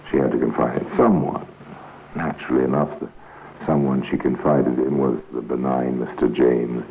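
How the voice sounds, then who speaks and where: An extremely deep-voiced storyteller of an old radio crime series. He is measured and grave with a wry edge. The vintage recording is tinny, with some hiss. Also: vocal fry. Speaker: narrator. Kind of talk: 1950s radio crime drama narration.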